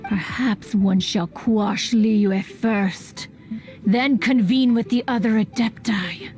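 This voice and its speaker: A woman's voice: medium pitch, slow, darkish tone, close to an everyday voice. A woman voicing an ancient, haughty female immortal in a video game, with formal, imperious, archaic phrasing. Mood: shocked, outraged. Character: ancient, haughty, reclusive female immortal adeptus, proud and stern. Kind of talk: stately video game character acting. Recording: studio voice over game music.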